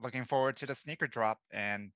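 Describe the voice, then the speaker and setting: A man's voice: medium pitch, brisk, background noise. A man hosting a casual podcast, chatting as he leads the conversation. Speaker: podcast host.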